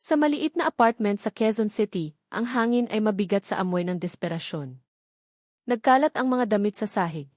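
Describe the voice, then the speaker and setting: Female narrator for dramatic love stories: solemn scripted delivery, medium pitch, very dry sound. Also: a little mellow, no echo.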